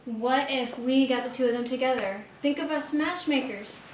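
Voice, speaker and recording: A poised, teasing wife played by a woman with a fairly high voice and a wry, reassuring manner. The stage drama is recorded from afar, with clear background noise. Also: normal speed, close to an everyday voice. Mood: chatty. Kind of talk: stage play acting. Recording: distant, echoey, noisy stage recording.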